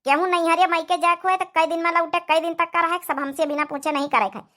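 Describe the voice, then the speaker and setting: A woman's voice: extremely high pitch, steady tone, brisk, sharp tone. A woman playing a strict, scolding village mother-in-law in a cartoon comedy, with an Awadhi accent and a nagging, domineering manner. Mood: stern, commanding.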